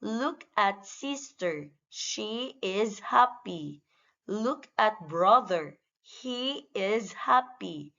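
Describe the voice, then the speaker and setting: Very slow-speaking woman reading a children's lesson. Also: medium pitch, expressive, gravelly, bright, forceful tone. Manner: deliberate, simple, instructional delivery. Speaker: language lesson narrator. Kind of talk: scripted English lesson for young children, short phrases read out for repetition. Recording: dull, lo-fi sound.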